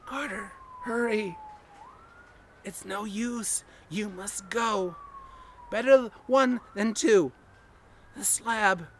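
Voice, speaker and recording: A man voicing a doomed, terrified man in a horror reading, with a hoarse, quivering voice and a desperate, urgent delivery. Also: sits high, extremely slow, long pauses, fairly bright tone, slight background noise, heavily acted. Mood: hushed resignation. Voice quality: hoarse, breathy, quivering, often whispering or screaming. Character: doomed adult man, terrified yet self-sacrificing, calling from below.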